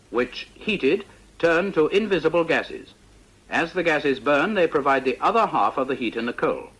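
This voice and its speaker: A British man narrates an old instructional film in a precise, didactic style. His voice sits a little high and swings in pitch to stress key words. It is bright and forceful, with measured, scripted delivery. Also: breathy edge, low-quality recording.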